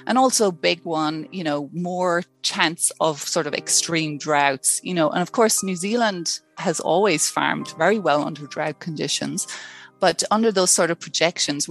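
A woman with an Irish accent, from rural Ireland, a scientist answering interview questions with many ums and restarts. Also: sits low. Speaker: scientist guest.

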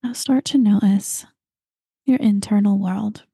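A woman guiding a meditation, talking slowly and calmly and at times dropping into breathy whispers. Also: mid-range voice, dry recording, clean studio sound. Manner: slow, soft and calm, drifting into breathy whispers. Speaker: meditation guide. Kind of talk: guided meditation.

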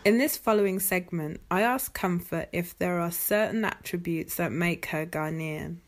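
A woman host reading a calm, measured, scripted introduction to the next segment of an interview podcast. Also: lowish voice.

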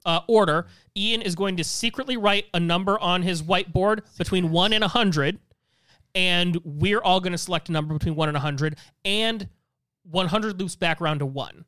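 A man co-hosting a podcast, casually explaining and bantering, often repeating words in quick bursts. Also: sits high, pushed and bright, no echo, poor audio. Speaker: podcast co-host running the game.

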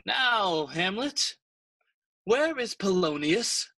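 A man playing a stern, scheming king in a classical audio play, formal, commanding and measured. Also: high-pitched, sing-song, bright, forceful tone, dry recording, heavily acted. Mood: stern, impatient.

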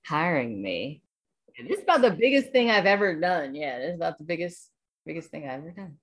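A woman with an American accent talks casually in an interview. Her voice sits low, and she rambles enthusiastically, circling back over her phrases. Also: big pitch swings, brisk. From Washington DC area, United States. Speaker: voice actor, interview guest.

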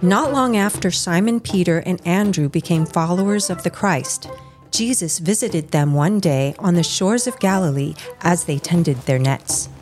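A woman's voice: fairly low pitch, unhurried, a little mellow, soft background music. A woman narrating a scripted religious story in a storytelling style.

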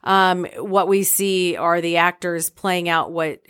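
A woman's voice: lowish voice, fairly even intonation, slow, fairly bright tone, clean tone. Middle-aged woman chatting in an interview. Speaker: theater director, podcast guest. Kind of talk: casual interview talk.

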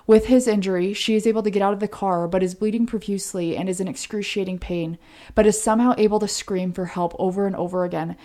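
A woman with a warm, rounded, relaxed tone co-hosts a true crime podcast. She lays out the case conversationally, as a story, explaining each step earnestly. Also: medium pitch, quick pace.

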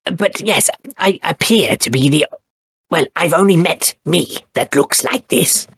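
Bird-folk cleric played by a man: gravelly, husky, stammers. Dry close sound. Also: a little high, lively intonation, a little slow, no echo, heavily acted. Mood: earnest. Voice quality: husky, raspy. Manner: stammering, hesitant, then solemn when he preaches. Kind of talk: tabletop character interview.

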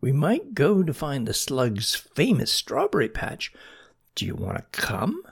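Storyteller as a cocky, animated young frog fellow. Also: mid-range voice, unhurried, gravelly, breathy edge, voice pushed up, heavily acted. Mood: friendly, casual. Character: cocky, impatient young male frog, friendly joker.

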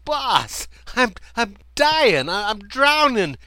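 A high, bright, whiny dog, put on by a man stammers and complains in frantic bursts. He sounds indignant and put-upon, every line a yelp of protest. The recording is dry and close. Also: sing-song, takes it slowly, no echo, heavily acted. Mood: frantic whining. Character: panicky, indignant male dog in icy river.

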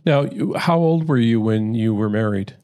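A man's voice: on the low side, animated, moderate pace, dark tone. A man hosting a faith podcast interview, asking questions and giving encouraging replies. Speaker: podcast host.

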